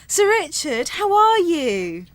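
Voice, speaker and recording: A woman's voice: extremely high pitch, big pitch swings, slow, full character voice. A woman playing a deluded, eager young airport check-in clerk in a radio comedy. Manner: eager, self-assured, theatrical.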